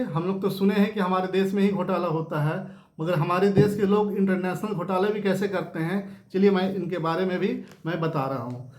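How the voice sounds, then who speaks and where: A man with a notably high voice and an Indian accent presents informal news commentary. He explains things to viewers, repeating phrases and often tacking on a short checking question. The sound is clearly echoey, as in a reverberant room. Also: darkish tone. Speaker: host of a political news commentary channel.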